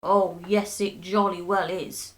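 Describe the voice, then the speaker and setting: Woman doing a gloating, spiteful old husband, slow. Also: lowish voice, flat delivery. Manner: taunting, drawn-out mock solemnity.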